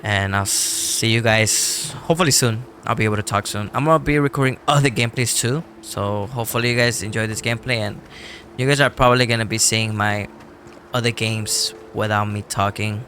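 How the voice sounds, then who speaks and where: A man losing his voice from illness talks casually to his viewers, apologetic and rambling, clearing his throat often. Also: on the low side, a little fast, a bit bright, a little hiss or hum. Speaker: gameplay YouTuber. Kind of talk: casual talk to viewers before gameplay.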